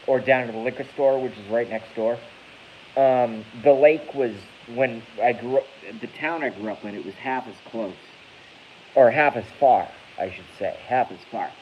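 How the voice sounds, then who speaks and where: A man in his thirties from Massachusetts, ranting casually on a live stream in a rambling, profane way that often corrects itself. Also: medium pitch. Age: 30s. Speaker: YouTube streamer. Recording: dull lo-fi with faint hiss.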